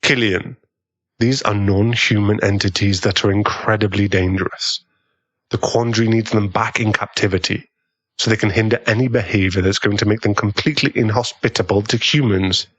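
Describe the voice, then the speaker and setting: A man playing an earnest, kindly government agent in an audio drama, with a measured, avuncular way of talking. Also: on the low side, steady tone, a bit bright, muffled recording. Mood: grave, pleading.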